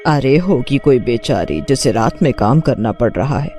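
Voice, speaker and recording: A man's voice: mid-range voice, animated, normal speed, dark tone, faint music behind, pitched below the performer's own voice, lightly acted. A man voicing a practical, chatty young woman traveller in a cartoon story. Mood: matter-of-fact. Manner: matter-of-fact, chatty.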